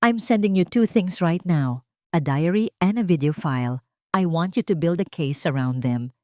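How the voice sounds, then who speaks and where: Woman voicing a calm, steely billionaire man. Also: on the low side, dry recording, clean studio sound, lightly acted. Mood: cold resolve. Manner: commanding, deliberate. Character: calm, steely male billionaire boss giving orders.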